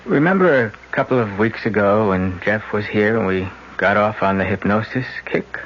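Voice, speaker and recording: An actor playing a troubled young twin brother who is intense, self-questioning and plotting murder in a 1970s radio drama. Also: male voice, lowish voice, moderate pace, slight background noise, close to an everyday voice. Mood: coaxing. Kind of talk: 1970s radio drama acting, interior monologue. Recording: muffled vintage radio drama, loud music.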